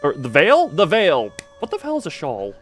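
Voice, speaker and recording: A man's voice: a little high, huge pitch swings, normal speed, a bit bright, faint music behind. A man doing casual live video game commentary, rambling playfully to his viewers and repeating himself as he exclaims.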